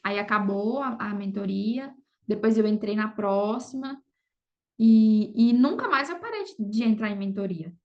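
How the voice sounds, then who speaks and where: A woman's voice: mid-range voice, expressive, moderate pace, lo-fi sound. A Brazilian woman talking casually as an interview guest, often adding little check-in tags to her sentences. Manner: conversational, frequent "sabe" and "entendeu" tags. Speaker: podcast guest, affiliate marketer.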